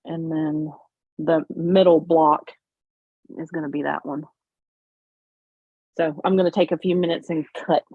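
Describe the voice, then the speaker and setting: A woman's voice: low voice, flat delivery, a little slow, long pauses, slightly rough, dry recording. A woman with an American country accent, hosting a casual, folksy livestream chat while sewing, on muffled audio. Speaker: livestream host.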